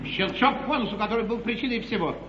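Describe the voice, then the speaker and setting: A man's voice: high-pitched, fast, close to an everyday voice. An actor playing a worldly English nobleman and schemer in a 1950s stage comedy, declaiming emphatically and repeating phrases for effect. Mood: excited, astonished. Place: theatre stage, live performance. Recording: noisy, muffled, echoey 1950s theatre broadcast.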